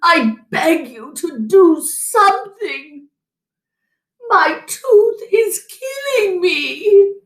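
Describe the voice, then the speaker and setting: Storybook fox gentleman with toothache, pleading in slow high wails played by a woman. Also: big pitch swings, exaggerated voice. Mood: tearful, grateful begging. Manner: wailing, drawn-out, tearful begging. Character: suffering, pleading, well-dressed male fox with toothache. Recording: roomy echo.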